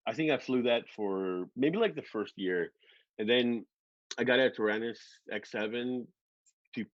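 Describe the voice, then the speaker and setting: A man's voice: mid-range voice, unhurried, no room sound, lo-fi sound. A man in his forties, a guest drone pilot, chatting casually and rambling, swearing freely and laughing often. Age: 40s. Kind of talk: casual chat.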